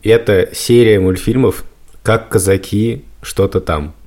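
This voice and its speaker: Low-voiced man in casual conversation. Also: unhurried, a little mellow. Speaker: podcast co-host.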